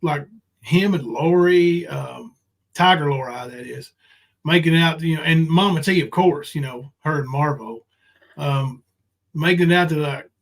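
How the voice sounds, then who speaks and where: High-voiced man hosting a casual livestream. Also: unhurried, vocal fry. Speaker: live panel stream host.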